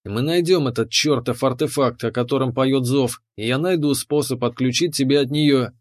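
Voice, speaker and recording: A man voicing a determined, protective engineer hero in an audiobook, speaking firmly and urgently, often hushed. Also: medium pitch, normal speed, dry recording, studio quality, natural voice. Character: determined male engineer hero, protective, defiant, afraid for her. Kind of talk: audiobook character voice.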